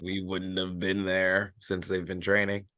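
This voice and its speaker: A man's voice: sits low, completely flat delivery, unhurried, sharp tone, no echo, clean studio sound. A young American man chatting casually as a podcast co-host, in a hesitant, agreeable way. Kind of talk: casual chat.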